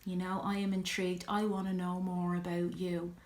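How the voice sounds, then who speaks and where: A middle-aged woman with an Irish accent, talking reflectively in a personal vlog, pausing often with ums. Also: lowish voice, level tone, darkish tone, echoey room. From Ireland. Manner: reflective, earnest, many ums and you-knows. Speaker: vlogger.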